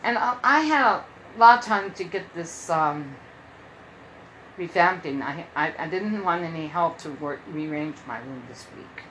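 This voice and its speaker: A woman's voice: low voice, animated, unhurried, a bit bright, a little hiss or hum, low-quality recording. A woman in her early fifties hosting a casual solo podcast from her room at home, rambling and often repeating herself. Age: 50s. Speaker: podcast host.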